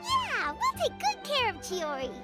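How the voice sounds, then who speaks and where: A woman voicing a small, chirpy, curious fairy girl in a video game, with a squeaky childlike voice. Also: huge pitch swings, unhurried, over music, exaggerated voice. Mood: concerned, chirpy.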